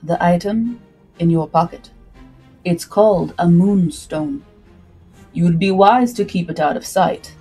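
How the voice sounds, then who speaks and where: A woman voicing a solemn, intense male benefactor in a slow, formal manner for a pirate story. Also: fairly low pitch, dark tone, breathy edge. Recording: music behind the voice.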